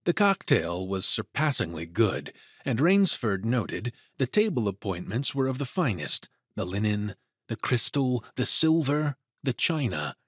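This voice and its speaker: A man narrates a dramatic story in a husky, mid-pitched voice. His delivery is very expressive, in a close, dry recording. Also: unhurried, no room sound.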